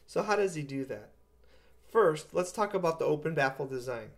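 A man in his fifties with a slightly high-pitched voice talks through an equipment review. He explains technical points step by step and reaches for plain, everyday comparisons. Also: lively intonation, moderate pace. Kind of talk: product review talk to camera. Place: living room with acoustic panels, indoors.

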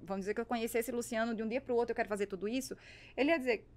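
A woman's voice: a little high, racing. A woman in her thirties with a Brazilian accent, telling stories conversationally as a podcast guest, close on the mic. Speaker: podcast guest, business networker. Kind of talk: animated conversational storytelling. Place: small foam-treated podcast studio. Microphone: close podcast microphone on boom arm.

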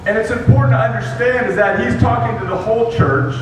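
A man preaching in short, measured phrases that pause for an interpreter. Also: extremely high pitch. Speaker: guest preacher. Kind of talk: sermon with live interpretation. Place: large dim auditorium. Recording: echoey hall from far off, noisy.

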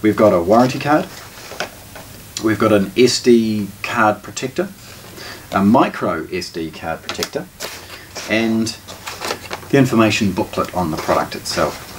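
A man's voice: fairly low pitch, lively intonation, unhurried, pauses often. A middle-aged man, giving an unscripted product unboxing commentary as a presenter. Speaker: shop presenter, product reviewer. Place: small indoor listening room with shelves. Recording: distant camera mic, background noise, clicks.

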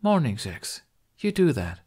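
A bold young burglar heiress from an adventure audiobook, played by a man. She teases with a sly, dry edge, and her pitch moves freely, lifted above the narrator's usual talk. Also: very animated, measured pace, darkish tone, slightly rough, full character voice.

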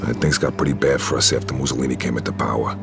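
An actor playing a hard, proud, terse Sicilian-born mobster in a video game. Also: male voice, extremely low pitch, steady tone, racing, music in the background. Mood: grim. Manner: terse, gruff. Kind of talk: video game cutscene acting.

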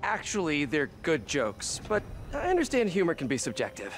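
A man's voice: a little high, huge pitch swings, normal speed. An actor playing an upbeat, boyish, wisecracking young male superhero in a video game, chatty and eager. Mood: relaxed, wry. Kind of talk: video game cutscene acting. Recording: loud backing music.

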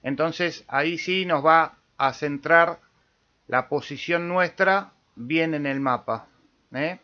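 A man with an Argentine accent giving a casual step-by-step software tutorial as host, with small check-in tags. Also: fairly high pitch, slow pace. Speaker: amateur radio tutorial host. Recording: dull lo-fi voice-over recording.

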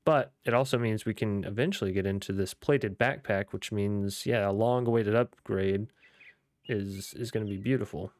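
A man's voice: on the low side, moderate pace, no room sound. A young man with a slightly worn voice, chatting casually and upbeat while he plays, rambling with fillers as he goes. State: losing his voice. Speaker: gaming YouTuber. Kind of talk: casual gameplay commentary.

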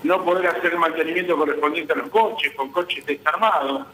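An Argentine man with a high, forceful voice gives a live news interview. He argues insistently and poses his own questions. The remote call sounds roomy, with some faint noise. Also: fairly quick. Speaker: guest, transport business association vice president.

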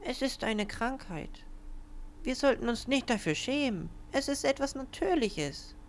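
A woman voicing a gentle toy lion who consoles a child in a reassuring way, in an animated short film. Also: sits high, a little slow, slight background noise. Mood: gentle, consoling.

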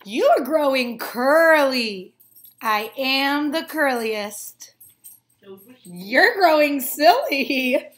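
A woman voicing boastful, excitable little cartoon grass blades from a storybook, with emphatic, drawn-out delivery. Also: sits high, exaggerated voice. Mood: proud.

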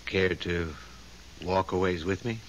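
An actor playing a polite, awkward, hesitant gentleman courting a widow in a 1970s radio drama. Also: deep voice, a little slow, dull audio. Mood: awkward, courteous. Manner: hesitant, mannerly. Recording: faint hiss, 1970s radio.

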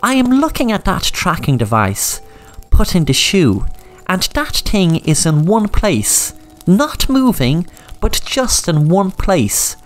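A woman's voice: fairly low pitch, big pitch swings, takes it slowly, soft background music, pitched above the performer's own voice. A woman voicing a shrewd, commanding male sheriff who talks to his men in a knowing, fatherly way. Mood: probing.

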